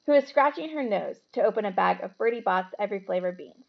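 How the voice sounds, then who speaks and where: Female read-aloud storyteller, expressive delivery for children, creaky higher voice, slightly dull recording. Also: fast talker. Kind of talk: expressive children's book reading with casual asides.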